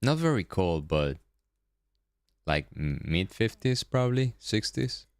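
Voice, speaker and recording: A man from Venezuela, hosting a day-trading livestream and chatting casually with viewers, often repeating words and trailing off low. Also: sing-song, slow, clear, resonant tone, no room sound, clean studio sound.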